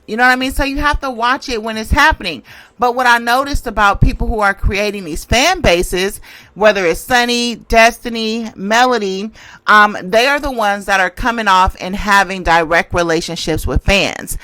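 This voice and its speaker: A woman hosts a casual tarot commentary in a bright, forceful voice at an average pitch. She is conversational and emphatic. She often repeats a phrase to press her point. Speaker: tarot reader and channel host.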